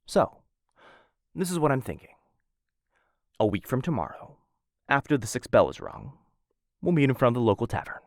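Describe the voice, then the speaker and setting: A man performing an ASMR roleplay as a suave, smitten assassin of a noble house, speaking in formal, courtly phrases with hesitant softer asides into a close mic. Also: mid-range voice, wildly swooping pitch, normal speed, creaky, long pauses, dry recording. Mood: suave, courting. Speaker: voice actor in a boyfriend roleplay audio. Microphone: close studio-style mic.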